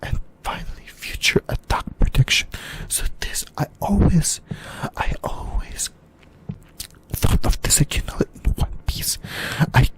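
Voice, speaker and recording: A man speaking in a breathy, unvoiced whisper, hosting casual ASMR fan commentary and addressing listeners informally. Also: unhurried, low-quality recording. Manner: informal, addresses viewers directly. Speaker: ASMR video host.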